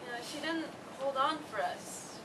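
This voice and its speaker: A woman's voice: high-pitched, moderate pace, natural voice. A woman playing an emotional, guilt-ridden eldest daughter in a staged play reading. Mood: accusing, questioning. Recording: distant mic, noisy room.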